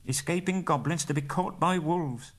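Guy doing a jittery little hobbit, voice pushed way up, husky edge. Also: on the high side, moderate pace, a bit bright, pitched above the performer's own voice. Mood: anxious. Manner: anxious, fretful. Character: small anxious male hobbit.

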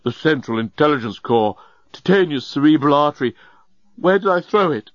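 Storybook old alien genius, male, acted by a man: drunk, effusive, swooping pitch, slightly muffled recording. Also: lively intonation, normal speed, no echo, natural voice. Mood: dazed, searching.

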